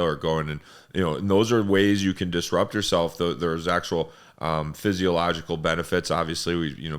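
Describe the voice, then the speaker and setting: A man's voice: very deep voice, clear, resonant tone. A man hosting a conversational motivational discussion, reflectively restating and building on points. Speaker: host.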